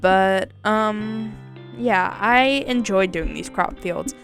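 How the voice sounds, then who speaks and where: Scratchy-voiced woman chatting over soft guitar. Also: medium pitch, takes it slowly. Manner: relaxed, rambling, many ums. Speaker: gaming channel host. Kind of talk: casual game commentary over a time lapse.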